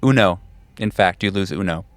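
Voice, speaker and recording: A man running a tabletop horror game, narrating scenes in a deliberate, descriptive way. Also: low-pitched, dry recording. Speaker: game master.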